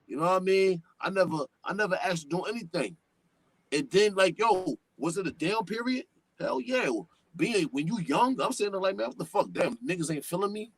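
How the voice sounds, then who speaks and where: A man, a guest hip-hop artist chatting on a podcast, animated, full of street slang and swearing, and talking at length. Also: moderate pace, slightly rough, poor audio. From New York. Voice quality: deep voice. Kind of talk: casual podcast chat.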